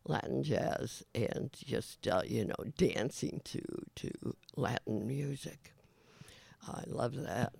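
Gravelly, halting older man. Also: medium pitch, expressive, darkish tone, no room sound. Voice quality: raspy. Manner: halting, many fillers and restarts. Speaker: show host. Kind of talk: casual rambling monologue.

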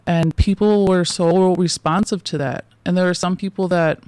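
A woman's voice: fairly low pitch, fairly even intonation, moderate pace, darkish tone, clear, resonant tone, no room sound. A woman in her forties, a guest in a casual interview, talking in loose, rambling sentences full of fillers and restarts and often laughing between thoughts.

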